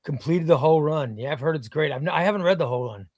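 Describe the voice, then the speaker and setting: A man's voice: fairly high pitch, expressive, fast, darkish tone, dry recording, clean studio sound. A man from Illinois whose first language was Spanish, hosting a casual livestream and reading viewer comments in a rambling, offhand way with some swearing. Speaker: comic book seller and livestream host.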